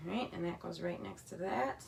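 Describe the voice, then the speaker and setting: A woman with a slightly raspy voice, chatting casually as she demonstrates a scrapbooking kit in her home craft room. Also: fairly low pitch, normal speed, background noise. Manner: chatty, talks while working with her hands.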